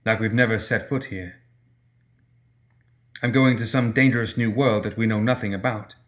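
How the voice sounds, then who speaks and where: A male narrator voices a hurt, sullen man in an audiobook tale. He speaks in a lowish register with quiet bitterness. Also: fairly even intonation, normal speed, close to an everyday voice. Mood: bitter, hurt. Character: wounded, sullen man about to emigrate alone.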